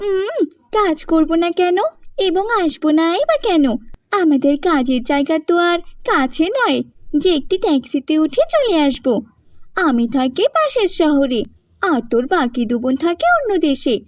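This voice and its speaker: A woman's voice: very high voice, very animated, moderate pace, no echo. A woman voicing a defiant, quarrelsome, boastful younger sister in a cartoon. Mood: defensive, haughty. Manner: sharp, argumentative. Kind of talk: cartoon voice acting.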